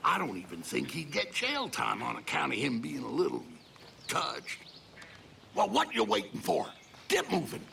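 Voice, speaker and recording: An actor playing a shameless, scheming politician in a video game, with a crude, sly way of rambling. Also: male voice, fairly high pitch, wildly swooping pitch, normal speed, gravelly, bright, forceful tone, a little hiss or hum, exaggerated voice. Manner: folksy, crude, sly rambling with heavy hints. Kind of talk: video game cutscene acting.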